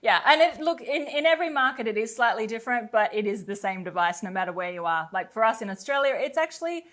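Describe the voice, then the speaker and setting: A woman co-presenting a sales pitch, gushing and enthusiastic, often laughing between phrases. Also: lively intonation, fast, a bit bright.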